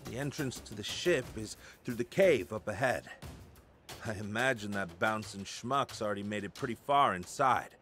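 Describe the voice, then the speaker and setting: Flirty male junker, bright forceful voice, swooping pitch, slangy, easily flustered, video game cutscene. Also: expressive, normal speed, soft background music. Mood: casual, complaining. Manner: hesitant, slangy, swings from sly to flustered. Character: excitable flirtatious male junker info dealer in gas mask.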